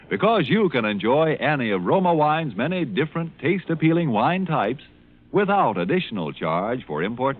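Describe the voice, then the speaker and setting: Animated old-time radio ad man. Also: mid-range voice. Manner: emphatic, deliberate salesmanship. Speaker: commercial announcer. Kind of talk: 1940s radio advertisement, scripted. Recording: thin, phone-like vintage radio recording.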